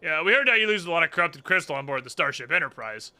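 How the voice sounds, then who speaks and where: A man voicing a brash, pushy, sweary male airship engineer who blusters and demands loudly. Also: a little high, lively intonation, fast talker, soft background music, full character voice. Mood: blustering.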